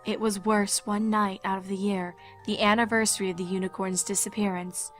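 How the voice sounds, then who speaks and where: A woman narrating a scripted dark fairy tale in a measured, ominous storytelling manner. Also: medium pitch, level tone, soft background music.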